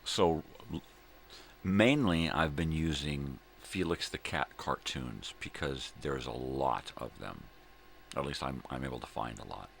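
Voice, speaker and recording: Middle-aged guy with a super low voice, folksy rambling morning vlog chat, some background noise. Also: a little slow, a bit bright. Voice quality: gravelly, very deep. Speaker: host of a daily vlog. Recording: clear background room noise.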